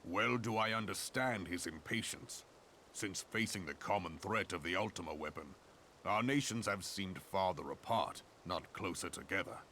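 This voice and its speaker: A steadfast military commander from a fantasy game, his voice deep and hard-edged. He speaks in formal, courtly phrases, measured and reassuring. A slight hiss sits under the recording. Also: sharp tone. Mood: measured, respectful. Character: loyal, measured male general counselling his sovereign. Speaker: game character, military general. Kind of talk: scripted game cutscene acting, formal archaic drama.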